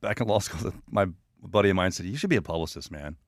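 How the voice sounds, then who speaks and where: A man's voice: sits low, expressive, fast, gravelly, dry recording, clean studio sound. A man talking casually as an interview guest, earnest and full of anecdotes. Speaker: guest, communications consultant.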